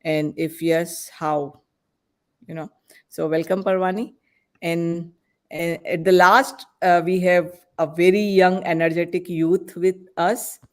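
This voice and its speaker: A woman hosting an online panel discussion, introducing guests with hesitant, halting phrasing. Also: lowish voice, fairly even intonation. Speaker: podcast host introducing guests.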